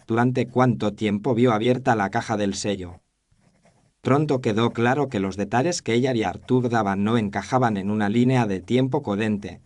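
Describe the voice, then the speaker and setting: A man with a somewhat low voice narrates a period detective tale. His delivery is scripted, literary and measured, told as a first-person account. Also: a little mellow.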